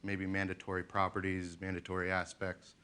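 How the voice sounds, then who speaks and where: Male presenter, deep low voice, halting delivery full of "um"s, conference room. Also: completely flat delivery, sharp tone, clean tone. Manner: loose, with many "um"s and restarts. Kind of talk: technical conference talk.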